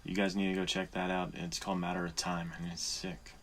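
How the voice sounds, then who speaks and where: A man's voice: sits low, little pitch movement, fairly quick, fairly bright tone. A man co-hosting a podcast, chatting informally and earnestly like an appreciative buddy. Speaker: podcast co-host. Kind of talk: casual podcast chat. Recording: faint background noise.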